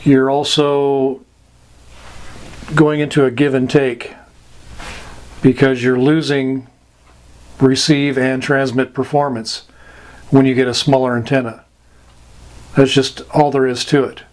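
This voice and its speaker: A man giving an informal explanatory lesson as an instructor, deliberate and halting, with long pauses. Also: medium pitch, little pitch movement.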